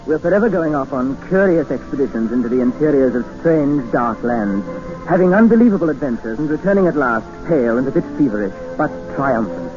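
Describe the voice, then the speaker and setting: A man's voice: medium pitch, animated, brisk, lightly acted. A man playing a wry English explorer narrating. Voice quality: wry, storytelling. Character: nervous young English explorer telling his tale. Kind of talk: 1950s radio drama narration. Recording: dull old radio broadcast with music.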